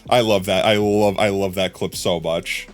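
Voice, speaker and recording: A young adult man streaming a game live, chatting casually with his viewers in a hoarse, rambling way. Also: sits low, steady tone, moderate pace, soft background music. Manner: chatty, rambling, often addressing chat.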